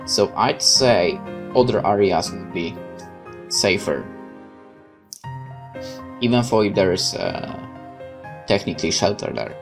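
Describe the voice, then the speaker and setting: A woman reading a game's story aloud and adding casual commentary as she plays. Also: extremely low pitch. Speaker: game player narrating a playthrough.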